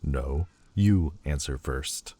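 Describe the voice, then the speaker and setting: A man playing a deep, slow demon lord. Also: warm tone, slightly rough, no echo, heavily acted. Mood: bickering. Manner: scornful, slow and deliberate. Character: sullen proud male demon lord. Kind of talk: audio roleplay.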